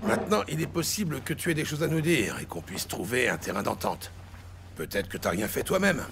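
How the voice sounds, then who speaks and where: Hard-nosed cop, gravelly, animated delivery, blunt, insistent, audio drama. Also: male voice, mid-range voice, brisk, very noisy. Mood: firm. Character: tough, pressing New York cop, blunt and relentless. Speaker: police detective interrogating suspects. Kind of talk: dramatic audio series acting.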